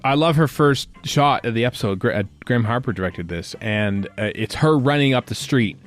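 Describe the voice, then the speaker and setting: A man's voice: mid-range voice, lively intonation, brisk, soft background music. A man hosting a podcast, chatting casually about a TV series in a jokey way. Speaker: podcast host.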